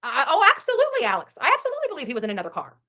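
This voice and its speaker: Woman, fairly high pitch, bright forceful tone, emphatic repetitive phrasing, livestream commentary. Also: huge pitch swings, rapid-fire. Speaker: online true crime commentator. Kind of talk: livestream case commentary and casual chat.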